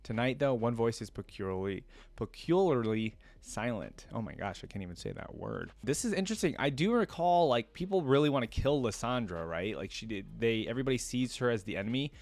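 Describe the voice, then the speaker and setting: A man doing informal reaction commentary, switching between casual slangy remarks and reading story text aloud. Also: medium pitch. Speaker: reaction video creator.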